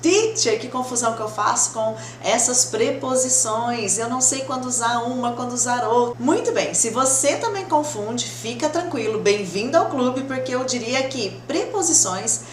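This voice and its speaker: A woman's voice: on the high side, expressive, normal speed, hardly any pauses. A Brazilian woman teaching English in a conversational, reassuring and didactic way, often checking that learners follow. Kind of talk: conversational grammar lesson to camera. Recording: roomy echo, clear background noise.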